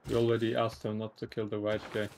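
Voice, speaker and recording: A man in an online strategy game, ribbing the others in a deadpan way. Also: fairly low pitch, fast talker, creaky, a crowd in the background. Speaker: fellow player. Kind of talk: casual gaming banter.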